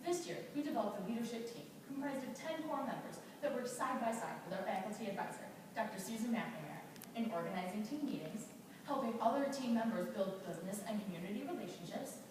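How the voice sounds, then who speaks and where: Fast-talking young woman, hall echo. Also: a little high. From Batavia, New York. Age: young adult. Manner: quick, recited delivery. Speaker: student presenter. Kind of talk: rehearsed competition presentation. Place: large auditorium. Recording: echoey hall, distant mic, noisy.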